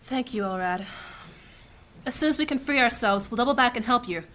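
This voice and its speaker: Woman playing a bossy high-ranking mage lady, bright sharp voice, audio drama. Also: animated, fairly quick. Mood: commanding. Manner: authoritative, clipped commands. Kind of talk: fantasy audio drama acting.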